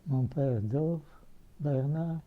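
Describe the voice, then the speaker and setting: A man's voice: fairly high pitch, drawn-out words, narrow, tinny audio, a little hiss or hum. An older man giving testimony in an interview, answering briefly and hesitantly as he searches for dates and names. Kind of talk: slow recollection in an interview.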